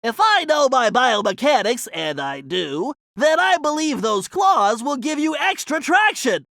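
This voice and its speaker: A man's voice: very high-pitched, big pitch swings, measured pace, creaky, bright, forceful tone, no echo, clean studio sound, full character voice. A man voicing a brainy, fussy young cartoon turtle in a video game, nasal and precise, speaking with earnest emphasis as he plans missions. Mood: eager, explanatory.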